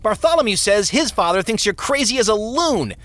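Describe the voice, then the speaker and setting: A man's voice: sits high, sing-song, fairly quick, fairly bright tone, no echo, pitched above the performer's own voice. A man voicing a cheeky, mocking young boy in an audiobook story. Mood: mocking, gleeful.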